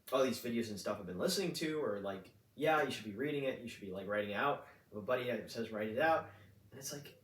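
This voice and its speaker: A man's voice: fairly low pitch, a bit bright, a little hiss or hum. A man in his twenties, talking in a rambling casual monologue full of fillers and restarts. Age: 20s. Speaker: vlogger. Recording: roomy echo, distant mic.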